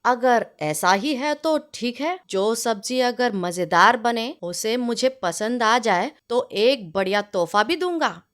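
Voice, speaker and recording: A woman voicing a demanding, food-obsessed male village landlord in a storybook tale, bossy and informal, quick to anger. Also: on the high side, animated, fairly bright tone, pitched below the performer's own voice. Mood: expectant. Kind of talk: storybook character dialogue.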